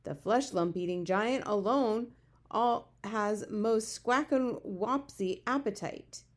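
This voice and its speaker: Woman acting a gentle, gloomy giant with muddled words. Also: medium pitch, slow pace, natural voice. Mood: matter-of-fact, grim. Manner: muddled homespun grammar with invented words. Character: big friendly giant, male, gentle, gloomy, word-mangling. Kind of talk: children's storybook character reading.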